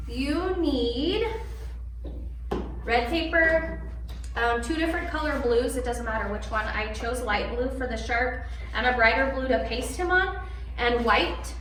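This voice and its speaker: A woman's voice: high voice, a bit bright. A woman storyteller and presenter speaking to children in a read-aloud, instructional style. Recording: echoey room from far, faint noise.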